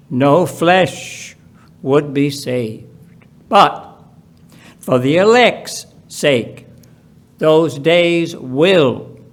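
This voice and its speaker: A man with a notably high-pitched voice reads from the Bible at a very slow pace. His delivery is solemn and deliberate, setting phrases apart with pauses and leaning on them for emphasis. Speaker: Bible reader at a church. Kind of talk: solemn scripture reading.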